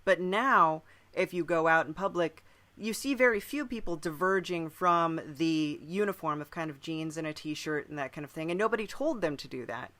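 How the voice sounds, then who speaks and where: A woman's voice: low voice, normal speed, a bit bright. A woman talking thoughtfully and at length in a conversational podcast discussion. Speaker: podcast speaker.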